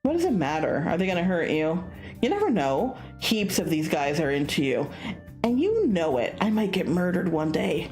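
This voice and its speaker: A woman's voice: on the low side, sing-song, moderate pace, a little mellow, soft background music. A woman voicing an anxious young male student in a visual novel, hesitant, self-conscious and a little sulky. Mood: sulky, worried.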